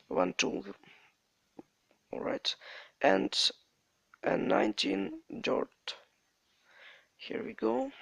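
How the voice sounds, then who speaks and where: A Ukrainian-accented man with a native Ukrainian speaker's halting English, walking through a game tutorial. His voice is heavily gravelly and fried, bright and sharp in tone, and swings widely in pitch. He speaks slowly, in a dry, close sound. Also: no echo. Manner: halting non-native English, repeats stock phrases. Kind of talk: step-by-step gameplay tutorial narration.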